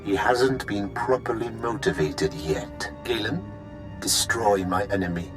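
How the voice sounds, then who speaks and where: A man's voice: on the low side, a little slow, lightly acted. A man playing a cold, domineering sci-fi game villain with an imperious, clinical, menacing delivery. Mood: cold contempt. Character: cold, domineering male villain controlling his enslaved agent. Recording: music behind the voice.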